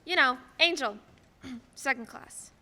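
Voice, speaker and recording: Casting: young woman playing an earnest, chatty guardian angel for radio drama, very forceful high voice, echoey hall. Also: slow, full character voice. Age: teens to young adult. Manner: eager, chatty, quaintly proper. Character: chirpy, earnest second-class guardian angel, female. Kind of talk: stage radio play acting. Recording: echoey hall, faint background noise.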